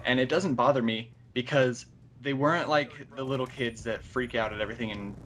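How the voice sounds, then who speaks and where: A nineteen-year-old man chatting casually as a guest on a gaming show, halting as he searches for words. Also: mid-range voice, fairly bright tone, slight background noise. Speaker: guest on a gaming video series. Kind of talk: casual chat over a call.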